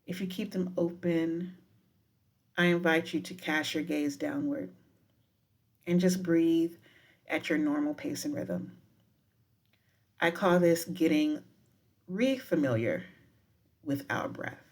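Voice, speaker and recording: A woman guiding a meditation in a deliberate, unhurried manner with frequent pauses. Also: low voice. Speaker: professor guiding a breathing meditation. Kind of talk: guided meditation with spoken poetry.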